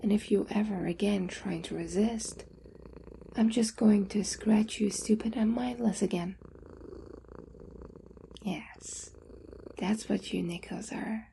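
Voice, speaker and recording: A woman playing a possessive, coaxing owner doting on a captive pet in an intimate, often whispered ASMR roleplay, slow, teasing and condescending. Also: mid-range voice, gravelly, warm tone, a little hiss or hum. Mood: doting, domineering.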